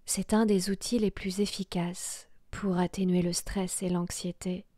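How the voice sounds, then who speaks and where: Very slow, soft breathy woman. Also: on the low side, flat delivery, dry recording, studio quality. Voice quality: soft, breathy, half-whispered. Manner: slow, soothing, hushed delivery with long pauses. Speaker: yoga nidra guide. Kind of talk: guided relaxation meditation.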